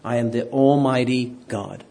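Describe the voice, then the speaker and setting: A man's voice: medium pitch, a little slow, creaky, darkish tone. A man teaching a Bible class who keeps quizzing his listeners and asking them for an amen. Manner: quizzes the class, asks for amens. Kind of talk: interactive Bible lesson.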